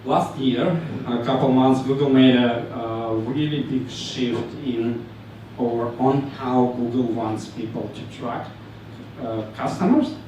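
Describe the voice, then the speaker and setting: A man from Ukraine with a Ukrainian accent presents to a live meetup crowd, pausing on fillers and dropping dry jokes. He is picked up from well across a very echoey room, with a faint hum of ambience underneath. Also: mid-range voice, steady tone, warm tone, distant mic. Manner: halting, frequent fillers, joking asides. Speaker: meetup speaker.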